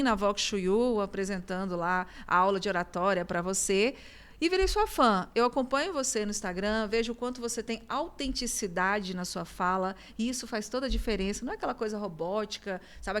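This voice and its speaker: A woman's voice: medium pitch, clean tone. A woman from Minas Gerais hosting a studio talk show, effusive and talkative, laughing often as she chats with her guest. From Minas Gerais, Brazil. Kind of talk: casual studio interview.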